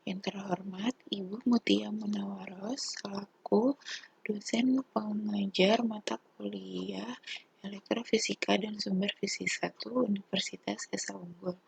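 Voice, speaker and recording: A woman's voice: mid-range voice, steady tone, a little slow, creaky, breathy edge, rough recording. A young woman with an Indonesian accent giving a formal, methodical student presentation. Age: young adult. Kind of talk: student presentation explaining a topic.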